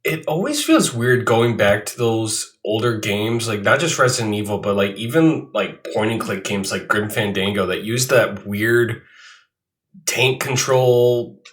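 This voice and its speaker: A man's voice: fairly low pitch, lively intonation, measured pace, a bit bright. A man with a nasal, congested voice, chatting casually as a co-host on a gaming podcast, often restarting his sentences. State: nasal from a cold, recovering.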